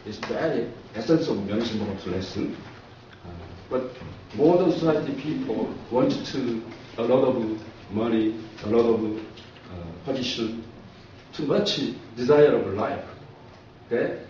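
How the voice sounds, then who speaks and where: Male professor, Korean accent, very slow halting delivery, mellow tone, short emphatic phrases, distant muffled recording. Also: mid-range voice, slight background noise. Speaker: professor, guest speaker. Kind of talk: explanatory talk in simple second-language English. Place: echoing talk room at a community centre. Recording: echoey hall from far off, muffled.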